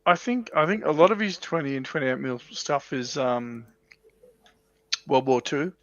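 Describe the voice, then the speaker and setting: Gravelly-edged man co-hosting casual chat. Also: medium pitch, measured pace, a bit bright, no room sound, muffled recording. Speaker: show co-host. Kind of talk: casual chat on a hobby livestream.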